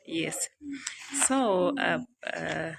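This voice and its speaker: Hesitant woman in her thirties, vocal fry. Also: low-pitched, expressive, extremely slow, rough recording. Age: 30s. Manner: hesitant, frequent "uh" fillers, repeats herself. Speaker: vlogger. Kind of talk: reflective casual monologue. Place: outside a small holiday villa.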